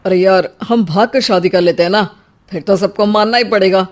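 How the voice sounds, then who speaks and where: A woman voicing a young village man who moves to the big city, in an animated story. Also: mid-range voice, normal speed, close to an everyday voice. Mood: earnest.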